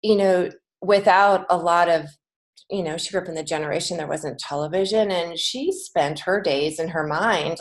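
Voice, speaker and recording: Lower-pitched American woman, earnest and reflective. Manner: earnest, reflective, halting with many false starts. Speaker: podcast guest, children's author and creative writing teacher. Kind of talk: casual interview conversation.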